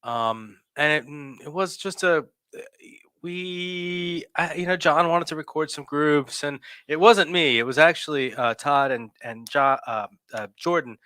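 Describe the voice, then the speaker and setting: A man's voice: a little high, measured pace, sharp tone, clear, resonant tone, no room sound, studio quality. A man in his forties hosting a podcast, chatting casually, rambling and correcting himself, laughing often. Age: 40s. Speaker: podcast host. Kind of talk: casual chat.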